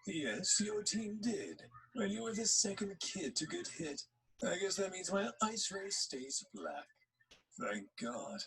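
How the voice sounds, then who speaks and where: A man playing a weary, deadpan superhero father in a comedy series. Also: high-pitched, slow pace, slight background noise, exaggerated voice, low-quality recording. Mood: weary, dry.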